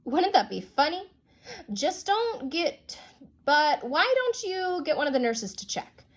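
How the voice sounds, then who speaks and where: A woman's voice: high voice, sing-song, unhurried, voice pushed up. A woman voicing a child who writes a grudging, earnest apology letter, read expressively as a storybook. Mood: excited, speculating.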